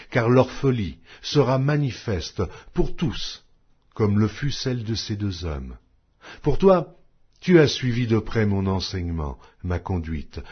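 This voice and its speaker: A man reading scripture from a script as an audio Bible narrator, in a solemn, declamatory manner with measured pauses. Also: on the low side, husky, highs cut off.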